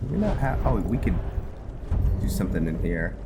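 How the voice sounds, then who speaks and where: A man giving casual gameplay commentary, musing aloud in a halting, hesitant way, with music behind him. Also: low voice, very animated. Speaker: gamer playing and narrating a fantasy roleplay series. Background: loud game music under the voice.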